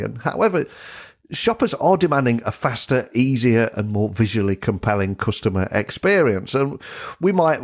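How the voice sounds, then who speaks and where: Man, mid-pitched voice, podcast host, upbeat, polished intros and questions. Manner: upbeat and scripted-sounding in his introductions and questions. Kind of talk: podcast interview.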